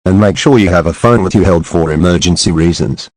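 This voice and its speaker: A man reading a government emergency alert in a stiff, even official delivery. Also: low-pitched, a little fast, no echo.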